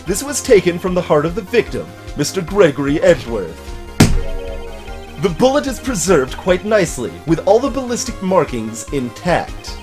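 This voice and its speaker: A man voicing an earnest young male defense attorney in a video game, making emphatic, deliberate declarations. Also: high voice, big pitch swings, over music. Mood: confident explaining. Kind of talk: video game dub acting.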